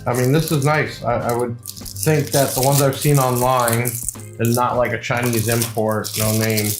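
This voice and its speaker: Male product-review host, mid pitch, informal rambling sales patter; echoey room, faint music bed. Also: level tone, moderate pace. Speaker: video host and shop seller presenting products. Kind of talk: casual unboxing review, talking to camera.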